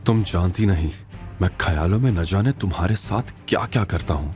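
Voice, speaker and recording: A man's voice: low-pitched, fairly quick, a little mellow, faint music behind, lightly acted. A man playing an obsessive, entitled male stalker in an audio drama. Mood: menacing, obsessive. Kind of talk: audio drama character dialogue.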